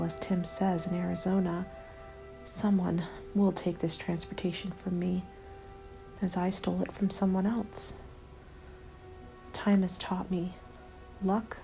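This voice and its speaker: A woman plays a lone survivor of the apocalypse, guarded and worn down, setting down a diary in an audio roleplay. The voice is hushed and breathy, with a gravelly creak at the edges. It moves at a measured ASMR hush and stays on a narrow, level pitch. Music plays clearly underneath. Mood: wary, resigned. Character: weary, guarded lone female survivor journaling a zombie apocalypse. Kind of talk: ASMR scripted first-person diary narration.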